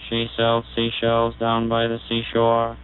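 A man demonstrating an early speech synthesizer, speaking in a measured, explanatory way through a buzzy vocoder. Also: medium pitch, monotone, clear, resonant tone. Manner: measured, explanatory, recites test sentences. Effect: vocoder-resynthesized voice, buzzy and synthetic. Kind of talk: technical demonstration. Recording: tinny 1930s archival recording with mains hum.

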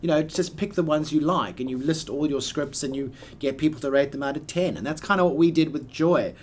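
A man's voice: a little high, brisk, a little mellow, slight background noise. A man in his forties giving a casual, plain-spoken explanation in an interview, with emphatic asides. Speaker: radio play producer explaining the process. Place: small office or studio room by a window, indoors.

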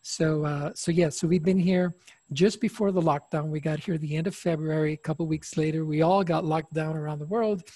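A man in his fifties from Chile, a success coach speaking calmly as a guest on a video call through a headset. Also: fairly high pitch, a little fast, no echo.

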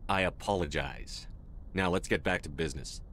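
A tough soldier in command in a video game. His voice is on the low side, clipped and controlled with a hard edge. Faint rumble runs underneath. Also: normal speed, a bit bright. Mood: curt, controlled. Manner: terse, hard-edged, controlled. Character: tough, no-nonsense male military commander.

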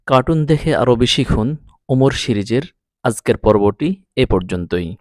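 A male Arabic teacher with a mid-pitched voice. He explains word by word, repeating each phrase before translating it, in a dry recording with little room sound. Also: lively intonation, a little slow, a little mellow, no echo.

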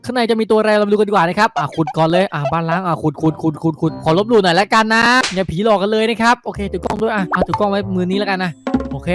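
A man narrating a game role-play vlog, chatty and repetitive, speaking straight to his viewers. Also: high voice. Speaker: video creator narrating game skits. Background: background music.

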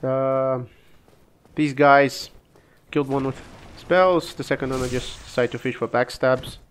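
A man with a cold casually commenting on his own gameplay, relaxed and halting, coughing now and then. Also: mid-range voice, slight background noise. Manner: relaxed, halting, frequent restarts and fillers. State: sick, coughing.

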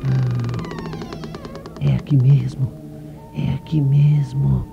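Man as a creaky-voiced jittery snooping reporter. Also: medium pitch, flat delivery, slow, over music. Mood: nervous. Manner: muttering to himself, exclaiming. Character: nosy, jittery newspaper reporter snooping alone. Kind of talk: comic radio play acting. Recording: dull lo-fi radio recording.

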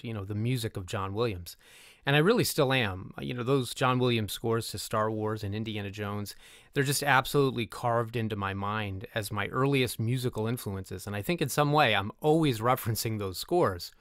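A man's voice: fairly low pitch, a bit bright, dry recording, clean studio sound. A middle-aged man answering interview questions in a conversational, talkative, enthusiastic way. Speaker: interview guest, music composer.

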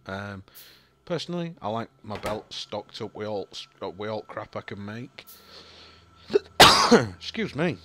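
A man's voice: fairly low pitch, big pitch swings, measured pace, bright, forceful tone, a little hiss or hum. A young man with a Yorkshire accent, rambling and thinking aloud as he commentates on a building game, full of ums and apologies. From Yorkshire, England. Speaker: gaming YouTuber. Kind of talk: casual gameplay commentary.

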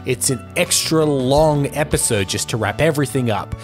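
A man in his thirties with a mid-range voice hosts a film podcast. He speaks casually on a close mic, with clear music underneath. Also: mid-range voice, normal speed. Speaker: podcast host. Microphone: close studio microphone.

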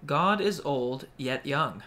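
A man's voice: medium pitch, lively intonation, slow, pushed and bright. Man reciting scripted ritual lines. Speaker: co-reader of ritual parts.

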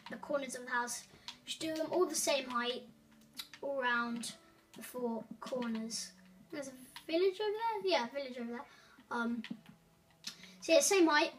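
A woman's voice: high voice, a bit bright, distant mic. A woman hosting a casual building walkthrough, rambling with many ums and self-corrections. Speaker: gaming tutorial host.

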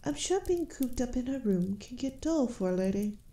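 A woman playing a gracious, shrewd elf queen and mother in a fantasy audio roleplay, speaking softly in regal, measured phrases. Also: a little high, big pitch swings. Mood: commanding. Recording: roomy echo.

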